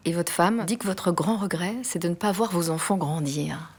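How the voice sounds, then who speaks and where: A woman conducting an interview, asking polite, formal, measured questions. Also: on the low side, husky.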